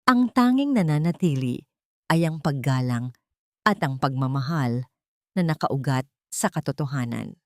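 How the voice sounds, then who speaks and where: A woman narrating a scripted dramatic story in a measured, solemn and sentimental manner. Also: very deep voice, lively intonation, dry recording, clean studio sound. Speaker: narrator of audio drama stories.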